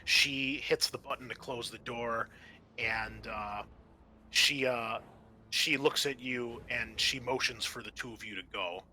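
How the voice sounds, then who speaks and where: A man acting as game master, improvising halting narration for a tabletop roleplaying game. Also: medium pitch, bright, forceful tone, slight background noise.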